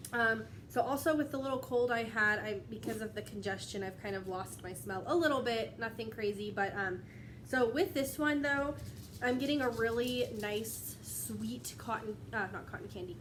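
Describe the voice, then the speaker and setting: A young woman talking to her tablet camera at home, a touch higher-pitched than most and slightly stuffy from a cold. She rambles in a chatty, friendly way, laughing often. The recording carries clear background noise. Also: a bit bright. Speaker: wax melt vlogger. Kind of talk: casual chat to camera. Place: at home, indoors.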